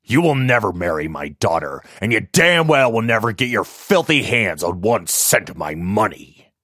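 A man's voice: low voice, lively intonation, a little slow, a bit bright, no room sound, clean studio sound, heavily acted. A man voicing a plump, pompous older rich man full of contempt. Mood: contemptuous.

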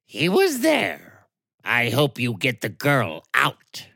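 Man doing a slow sly old witch lady, sing-songy, dry recording. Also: mid-range voice, wildly swooping pitch, vocal fry, sharp tone, dry recording, pitched above the performer's own voice, heavily acted. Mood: knowing. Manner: slow, knowing, drawn-out speech. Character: shrewd old woman fortune reader.

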